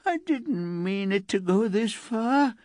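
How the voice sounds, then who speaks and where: A man's voice: on the high side, animated, measured pace, darkish tone, no echo, clean studio sound, voice pushed up. A man voicing a resentful teenage girl who whines and turns tearful. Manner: whiny and indignant, rising to wailing.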